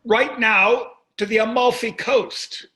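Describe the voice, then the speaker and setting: A man's voice: very high-pitched, fairly even intonation, slow pace, pushed and bright, heavily acted. An older man playing a wealthy, glib and dismissive husband and father in a staged play reading. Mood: exasperated.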